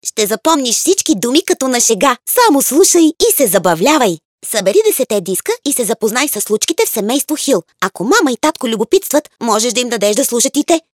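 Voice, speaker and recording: An upbeat woman narrates a children's language lesson. Her voice sits a little high with lively movement, clear and dry. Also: fast talker, hardly any pauses, no echo. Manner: upbeat, inviting.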